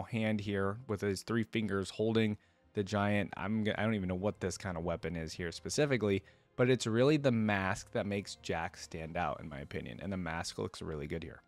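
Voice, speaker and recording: A man's voice: fairly low pitch, animated, moderate pace, no room sound, soft background music. A man hosting a reaction video, chatting casually to viewers and thinking aloud as he comments. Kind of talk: casual commentary, reacting to game music and artwork.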